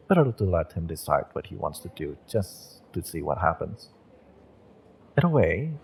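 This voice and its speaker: A man playing a kind, wistful witch and tarot shopkeeper in a roleplay audio, speaking to the listener in a gentle, encouraging, unhurried way. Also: deep voice, creaky, a little hiss or hum, heavily acted. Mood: musing, smitten.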